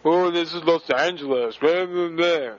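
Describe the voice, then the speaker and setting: A man's voice: fairly high pitch, normal speed. A man making a prank phone call, taunting and provoking the person on the other end with over-the-top insults. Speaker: prank caller. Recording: dull lo-fi phone recording with faint noise.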